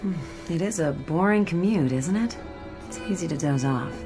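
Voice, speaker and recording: An actress plays a polite, chatty woman riding a train in a radio drama. Her voice sits fairly low and is conversational, with music clearly playing behind it. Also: lively intonation, normal speed, close to an everyday voice.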